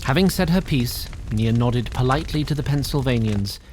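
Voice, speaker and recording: A man's voice: mid-range voice, lively intonation, normal speed, darkish tone, slight background noise. A male narrator in a mid-range voice, reading a fantasy audio drama. His scripted narration has a wry, storybook touch.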